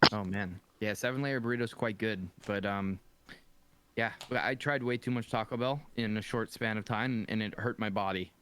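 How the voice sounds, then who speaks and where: A man's voice: lowish voice, moderate pace, a bit bright, slight background noise. A man, chatting playfully and emphatically as he plays a card game. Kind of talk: casual banter during a card game.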